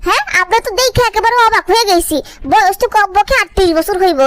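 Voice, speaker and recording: Woman voicing a very high, fast, gossipy girl. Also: background noise. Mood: gloating, astonished. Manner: catty, gossipy, colloquial. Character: envious, gossipy young village-talking female friend. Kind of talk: cartoon voice acting.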